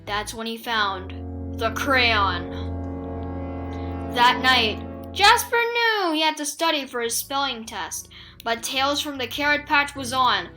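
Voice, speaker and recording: Slow high-voiced woman telling spooky stories. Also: animated, music in the background. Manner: theatrical, suspenseful, with deliberate pauses. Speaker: children's storybook reader. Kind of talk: dramatic read-aloud narration of a spooky picture book.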